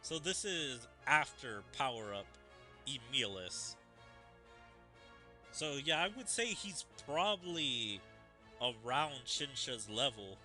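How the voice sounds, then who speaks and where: A man's voice: mid-range voice, big pitch swings, slow pace, pauses often, pushed and bright. A man giving casual, rambling commentary on a game's story as a streamer. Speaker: gaming streamer. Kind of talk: casual commentary on a game story, reading dialogue aloud. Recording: game music behind the voice. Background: game music.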